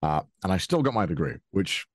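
A man's voice: low-pitched, sing-song, a little fast, husky, no echo, clean studio sound. A young man from Wales with a Welsh accent, talking casually as an interview guest, rambling with restarts and light chuckles. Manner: rambling, frequent restarts and fillers, chuckles often.

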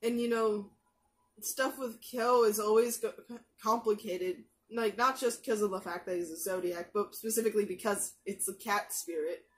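Chatty young woman on headset mic. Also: medium pitch. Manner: chatty, many fillers and restarts, quick asides. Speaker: anime reaction youtuber. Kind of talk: casual rambling commentary. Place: small bedroom with posters. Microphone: gaming headset mic.

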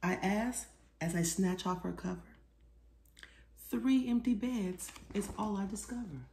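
A middle-aged woman reading a children's storybook aloud expressively, pausing to put questions to her young listeners. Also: medium pitch, vocal fry, dark tone. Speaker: children's author reading her own book. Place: small living room, seated on a sofa. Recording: roomy indoor echo.

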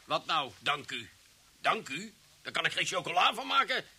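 An actor playing an irascible, blustering police commissioner in a radio play, stammering and repeating words in a husky voice. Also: male voice, fairly high pitch, big pitch swings, moderate pace, pushed and bright, heavily acted. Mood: curt, irritated. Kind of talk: Dutch radio drama acting.

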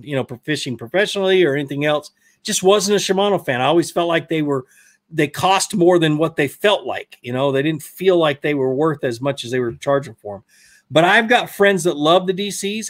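A man in his thirties with a Southern US accent, hosting a fishing live stream and casually answering viewer questions in a rambling, self-correcting way. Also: a little high. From Georgia, US. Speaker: fishing channel host. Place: indoor studio room, brick wall. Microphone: desk mic in front.

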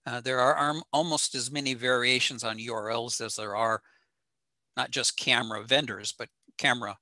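An older man giving an informal technical slide presentation in a halting, matter-of-fact way. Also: mid-range voice, fairly even intonation, sharp tone, no echo. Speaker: presenter at an amateur radio talk. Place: small room at home, on a video call.

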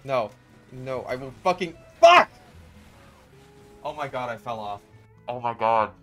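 A man giving joking gameplay commentary, sarcastic, swearing often, swinging between low deadpan mumbles and loud outbursts. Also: unhurried, soft background music. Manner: swears often, riffs on memes and cartoon bits. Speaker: gaming channel host.

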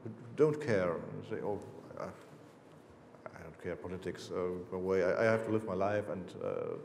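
A man's voice: deep voice, big pitch swings, normal speed, gravelly, a little mellow, a little hiss or hum. A German-accented man in his fifties, a native German speaker, answering audience questions about his books, hesitant, with many fillers and restarts. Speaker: author. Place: lecture hall, seated on stage. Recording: roomy lecture hall echo.

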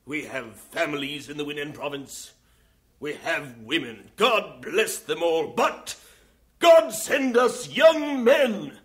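A man's voice: a little high, animated, slow. An actor playing an earnest British missionary superintendent in a radio drama, fervent and pleading when he preaches. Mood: preaching.

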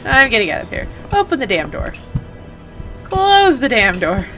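A woman's voice: on the high side, huge pitch swings, moderate pace, over music. A woman chatting casually as she plays a video game, reacting in short muttered remarks and exclamations. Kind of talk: casual let's play chat while playing.

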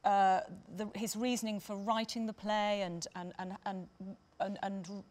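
A woman moderates a panel. Her voice is mid-pitched, and she feels her way into sentences, repeating words as she goes. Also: level tone, measured pace, darkish tone. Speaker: panel chair. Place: stage panel before dark curtains, indoors.

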